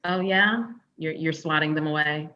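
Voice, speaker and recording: A woman's voice: low voice, normal speed, muffled recording, close to an everyday voice. A woman playing a sarcastic, combative younger woman in a comedy table read, with a pointed delivery. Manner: sardonic, pointed.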